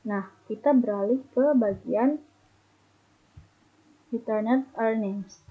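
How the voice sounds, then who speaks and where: A narrow, phone-like recording carries a young woman with a fairly high voice. She gives an explanatory student presentation, moving step by step and sometimes stumbling as she reads out figures. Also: slow pace, husky. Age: young adult. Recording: muffled, phone-like narrow band.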